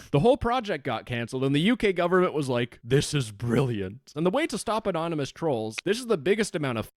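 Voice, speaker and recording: A man's voice: on the high side, big pitch swings, fairly quick, dry recording, studio quality. A man giving scripted video commentary in a sarcastic, exasperated way with plenty of swearing.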